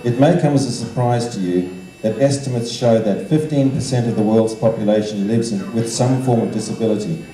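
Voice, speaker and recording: An older man giving a measured, formal prepared speech to an evening gathering. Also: fairly low pitch, mellow. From Zimbabwe. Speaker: guest speaker, art teacher and children's author. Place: large indoor function room. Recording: roomy, echoing hall sound, distant microphone.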